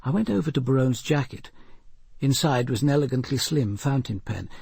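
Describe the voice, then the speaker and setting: An actor playing a composed, observant senior detective, narrating a murder case in a measured, deliberate radio drama style. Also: male voice, mid-range voice, lively intonation, vocal fry, a little mellow, slightly rough, dry recording, studio quality, exaggerated voice. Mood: grave, measured. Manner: deliberate, precise, grave.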